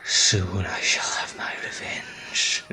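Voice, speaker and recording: A man's voice: lowish voice, wildly swooping pitch, takes it slowly, sharp tone, in a whisper, faint music behind, exaggerated voice. A man voicing a vengeful, gloating villain in a fan audio drama. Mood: vengeful glee.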